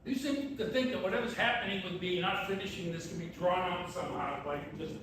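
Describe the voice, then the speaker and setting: An actor playing a tormented, evasive painter in a live one-act stage play, dry and cryptic but needling and insistent. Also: male voice, high voice, brisk, natural voice. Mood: guarded, troubled. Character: tormented, evasive painter blocked on an unfinished canvas. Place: tavern theater venue. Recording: echoey room from far off, noisy.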